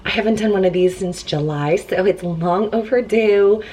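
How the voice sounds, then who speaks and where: A young mother talks to her camera at home in a hoarse, laryngitis-roughened voice. She is chatty and upbeat and laughs between phrases. Her pitch sits mid-range for a woman. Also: normal speed, mellow. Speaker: vlogger, mom. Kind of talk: casual day-in-the-life vlog talk. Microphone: handheld camera.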